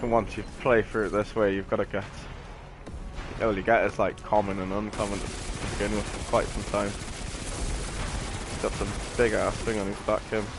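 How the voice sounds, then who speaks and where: British guy streaming a game, deepish voice, rambly and sweary, music n gunfire going. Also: flat delivery, normal speed. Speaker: gaming streamer. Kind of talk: casual gameplay commentary with banter.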